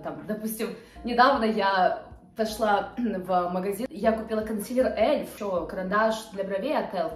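A woman of twenty-eight talking casually to the camera from her living room couch, hesitant and full of fillers. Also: medium pitch. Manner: chatty, hesitant with many fillers, gestures with hands. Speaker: vlogger. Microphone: camera a few feet away. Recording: roomy sound with faint background music.

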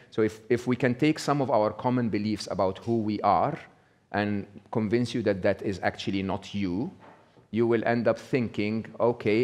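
Middle-aged male speaker, low voice, casual stage talk, keeps asking "okay?". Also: darkish tone. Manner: conversational, checks in with frequent "okay?". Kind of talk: live talk to an audience. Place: small indoor venue with brick wall.

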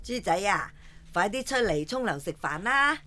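A woman's voice: mid-range voice, very animated, normal speed, very noisy, natural voice. Woman playing a coaxing, doting old grandmother. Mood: fussing, caring. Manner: coaxing, repeats herself soothingly. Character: doting elderly grandmother, patient and fussing. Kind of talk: radio drama acting. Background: music behind the voice.

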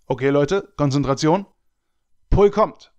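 A man's voice: on the high side, measured pace, a little mellow, lightly acted. A man playing a furious, foul-mouthed raid leader berating his online gaming guild in a comedy audio drama. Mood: commanding, focused. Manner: ranting, foul-mouthed, commanding.

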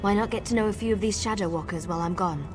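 An actress playing a cautious, determined female wood elf scout in video game dialogue, speaking in a measured, earnest way. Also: medium pitch, music in the background, lightly acted. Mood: cautious, reassuring. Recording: game dialogue over clear ambient noise.

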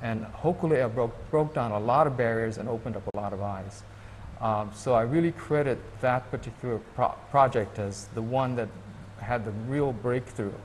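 A man from Hawaii, a guest in an interview, speaking thoughtfully and haltingly with many restarts. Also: mid-range voice, a little hiss or hum, poor audio. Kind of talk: reflective interview talk.

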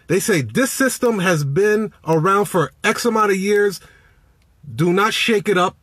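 Animated man talking in his car. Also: high voice, a little slow, breathy edge, no room sound. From Chicago, United States. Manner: animated, preachy, greets viewers by name. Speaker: business coach. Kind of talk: live-stream motivational talk. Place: inside a parked car. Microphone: phone at arm's length.

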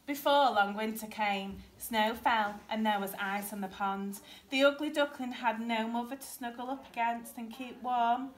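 A woman in her thirties reading a picture book aloud as a teacher, in an expressive storytelling style. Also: fairly high pitch, normal speed, hardly any pauses. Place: primary school classroom, indoors. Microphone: camera a short distance away.

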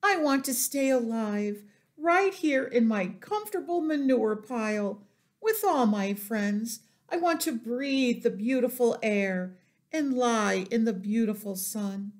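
A woman's voice: a little high, animated, slow, pitched above the performer's own voice. A woman voicing a hysterical, childish young male pig who wails and pleads in a storybook reading. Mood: tearful pleading. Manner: screaming, wailing pleas.

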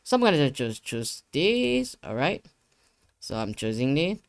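A young man giving a casual software tutorial, talking himself through each step in an informal, rambling way. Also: fairly high pitch, big pitch swings, unhurried, gravelly, no echo, studio quality. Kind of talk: casual screen-share tutorial. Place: indoor living room gaming setup. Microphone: close desktop USB microphone.

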